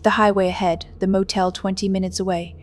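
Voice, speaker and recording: A woman's voice: medium pitch, little pitch movement, fairly quick. A woman narrating a scripted first-person horror story, reading the prose and dialogue.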